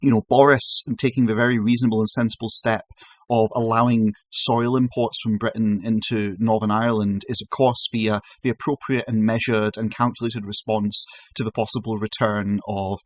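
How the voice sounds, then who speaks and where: A man's voice: lowish voice, level tone, moderate pace, dry recording. A man hosting a podcast, talking casually in an exasperated, sweary way and often restarting his sentences. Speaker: podcast host.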